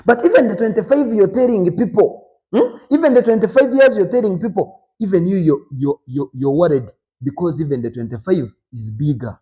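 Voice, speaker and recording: Emphatic motivational man, mellow voice. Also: high-pitched, lively intonation, brisk. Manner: rhetorical questions with frequent "hmm?"; emphatic, rises sharply on key points. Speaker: show host. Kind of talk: motivational talk to viewers.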